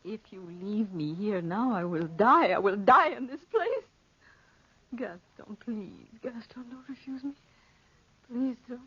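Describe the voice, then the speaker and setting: Husky, mysterious young temptress. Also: female voice, on the high side, expressive, unhurried, a bit bright, heavily acted. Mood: desperate, pleading. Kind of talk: 1940s radio acting. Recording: old dull radio recording.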